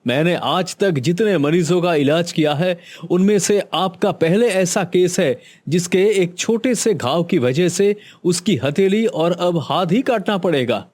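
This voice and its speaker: A man's voice: high-pitched, lively intonation, unhurried, few pauses, darkish tone. A man voicing a solemn male doctor giving grim advice in a Hindi cartoon. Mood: grave. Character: grave male village doctor.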